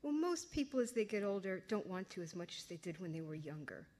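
An awkward middle-aged mother fumbling through answers she would rather not give, as a woman reads her lines. She sounds hesitant and uneasy, trying to stay calm in a storybook reading. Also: mid-range voice, brisk, close to an everyday voice. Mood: flustered.

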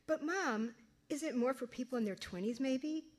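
A woman's voice: high voice, moderate pace, voice pushed up. A woman reading aloud and voicing an outspoken teenage girl who fires off pushy, exasperated questions. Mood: insistent.

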